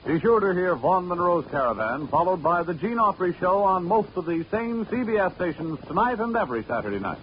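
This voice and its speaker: A man announcing in 1940s radio style, with a crisp, upbeat promo delivery. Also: sits high, animated, brisk, few pauses. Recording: dull old radio broadcast with faint hiss.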